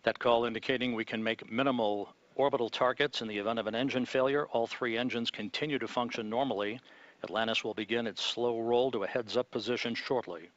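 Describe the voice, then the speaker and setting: A male launch commentator speaks in a measured, calm announcer voice at a middling pitch. The broadcast audio is dry and slightly dull. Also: dry recording. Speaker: launch commentator at mission control.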